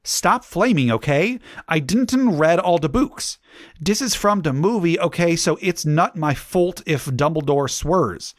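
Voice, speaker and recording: A man's voice: fairly high pitch, expressive, unhurried, no room sound, clean studio sound, pitched above the performer's own voice. A man voicing an indignant teenage girl author snapping defensively at critics in a whiny way.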